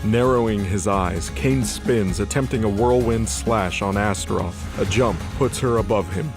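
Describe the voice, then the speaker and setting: Casting: male narrator, low voice, scripted action storytelling over prominent music. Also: a little slow.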